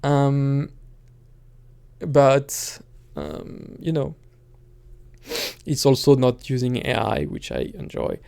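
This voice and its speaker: A man speaks in a relaxed, dark-toned voice with plenty of vocal fry. He sits at a middling pitch and rambles casually, like a streamer alone on his channel. His delivery is halting and hesitant as he feels his way toward each thought. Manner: hesitant, halting, thinking aloud. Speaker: streamer and musician talking on own channel. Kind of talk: casual rambling solo talk.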